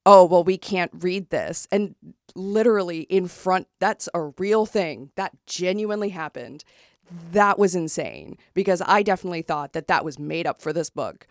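A woman co-hosts a casual book-club podcast, animated as she retells a true story, full of "um"s, "like"s and restarts. Her voice sits on the lower side, and the recording is dry and close. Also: normal speed, dry recording. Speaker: podcast co-host.

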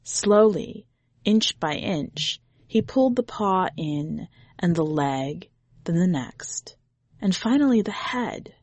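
A woman's voice: lowish voice, lively intonation, slow, a little mellow, dry recording. A woman reading a short story aloud as a podcast host, in scripted narration.

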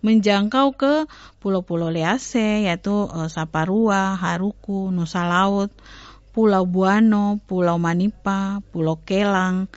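A woman's voice: mid-range voice, takes it slowly, darkish tone, no room sound, muffled recording. Woman explaining language on studio radio. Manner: explanatory, many hesitations and self-corrections. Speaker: language researcher guest. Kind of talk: educational radio talk.

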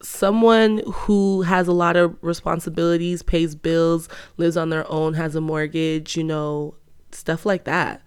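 A woman's voice: deep voice, flat delivery, a little slow, clean tone. Young woman, podcast co-host, casual chat, playful and teasing. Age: 20s. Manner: playful teasing, laughs often.

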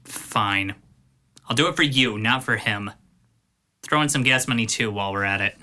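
A man's voice: lowish voice, normal speed, bright, forceful tone. A man playing a resentful, sarcastic young son in an audio drama, sullen and grudging in manner. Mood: grudging, bitter.

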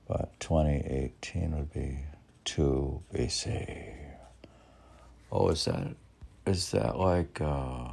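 A man reads poetry aloud casually, rambling into his own commentary and musing haltingly, as if arguing with himself. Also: a bass voice, gravelly, dark tone, husky. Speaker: poet reading own poems aloud.

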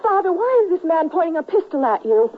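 An actress playing an anxious, devoted young daughter in a western radio drama. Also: female voice, very high-pitched, very animated, a little fast, narrow, tinny audio. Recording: thin vintage radio broadcast, music behind.

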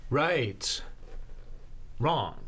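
A man's voice: medium pitch, lively intonation, very slow, fairly bright tone, breathy edge, exaggerated voice. A man playing a nervous, evasive office worker, hesitant and flustered, in an audio drama. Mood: uneasy. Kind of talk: scripted audio drama acting, naturalistic.

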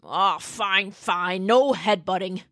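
Storybook: a slow, easygoing boy dinosaur who drawls and hums, played by a woman, low and lazy. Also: pushed and bright, no echo, studio quality. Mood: drawling. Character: curious young male pachycephalosaurus, easygoing.

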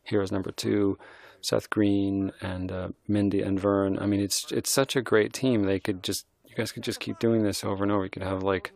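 A middle-aged man, a film director, giving an admiring, halting film commentary. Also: on the low side, level tone, gravelly, a little mellow, no room sound. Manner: thoughtful, admiring, halting restarts.

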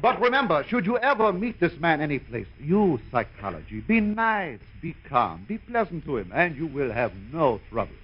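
An actor playing an earnest, pompous psychiatrist lecturing a patient in an old time radio comedy. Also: male voice, a little high, sing-song, moderate pace, few pauses, noisy background. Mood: soothing, pompous. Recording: dull vintage radio broadcast recording.

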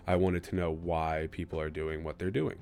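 A man's voice: rumbling low, flat delivery, normal speed, vocal fry, a little mellow, clear, resonant tone. A young man from Kansas City in his twenties, hosting a solo podcast in an earnest, reflective, loosely rambling way. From Kansas City, USA. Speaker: podcast host.

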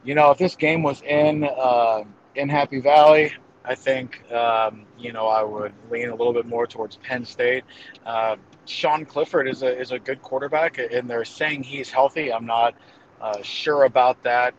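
A man's voice: mid-range voice, steady tone, a little slow, highs cut off, a little hiss or hum. A man giving casual football predictions as a guest analyst, hesitating and thinking aloud as he goes. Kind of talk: casual game-prediction commentary.

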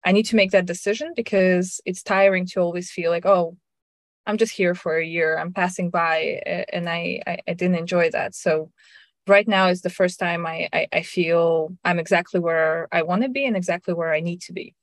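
A woman from Russia in her thirties, talking reflectively in a casual chat, with soft laughs and frequent restarts. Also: on the low side, fairly even intonation, normal speed, no echo. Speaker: guest sharing her story.

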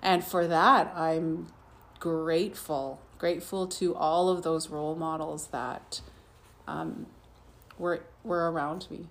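A woman guest speaking slowly and thoughtfully, with many pauses. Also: low-pitched, steady tone. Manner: slow, thoughtful, pausing. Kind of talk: casual chat.

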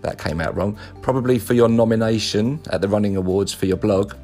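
A man with a low voice leaves a recorded message for a podcast host. His delivery is wry and teasing and full of little filler pauses. Faint music plays quietly behind him. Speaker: former podcast guest.

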